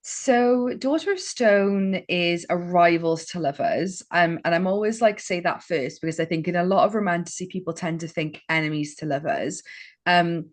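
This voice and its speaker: Welsh woman author in her thirties, lower voice, eager and chatty with little laughs. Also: moderate pace. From Wales. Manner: enthusiastic, lots of fillers and small laughs. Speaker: author guest. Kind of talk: casual interview chat.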